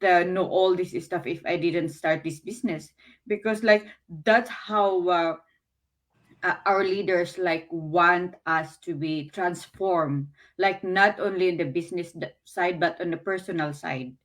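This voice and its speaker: Earnest Filipino woman, hesitant speech. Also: fairly low pitch. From Philippines. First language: Filipino language. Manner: hesitant, many fillers, earnest. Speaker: business owner sharing experience. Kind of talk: casual testimonial talk. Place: Canada.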